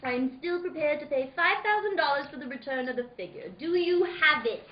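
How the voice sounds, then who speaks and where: A woman playing a polite, sly and nervous little crook in a noir radio play, speaking formally and haltingly. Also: high-pitched, expressive. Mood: careful bargaining. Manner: formal, halting, mannered delivery. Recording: muffled, echoey room with background noise.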